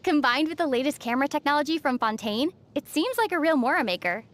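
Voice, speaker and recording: A woman playing a gentle, thoughtful young female traveler in video game dialogue, speaking in a measured, soft-spoken way. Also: high-pitched, expressive. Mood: calm, friendly. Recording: faint music under the voice. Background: faint background music.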